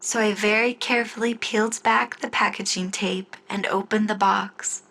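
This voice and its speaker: A woman narrating a scripted first-person story in the style of an old-time radio show, with deliberate storytelling phrasing. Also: mid-range voice, fairly even intonation, bright, forceful tone, rough recording. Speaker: writer and narrator of an audio story.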